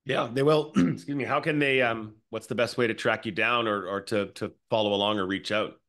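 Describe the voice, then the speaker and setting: A man's voice: fairly low pitch, fairly even intonation, fairly quick, a bit bright. A middle-aged man interviewing casually as a podcast host over a video call. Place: indoor room, video call.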